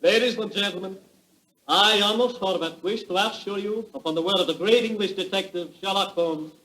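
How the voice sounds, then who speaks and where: High-voiced, grandly declaiming maestro. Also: male voice, fairly quick, bright, forceful tone. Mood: solemn, exultant. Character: proud, emotional European composer-conductor addressing his audience. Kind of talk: 1940s radio acting. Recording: roomy vintage radio drama recording.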